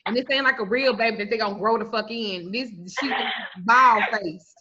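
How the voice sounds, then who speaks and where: A woman's voice: fairly high pitch, moderate pace, over a noisy crowd. Blunt, profane woman in her forties. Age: 40s. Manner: blunt, profane, animated storytelling. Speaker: spiritual reader and root worker. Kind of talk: casual livestream talk. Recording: muffled lo-fi stream audio.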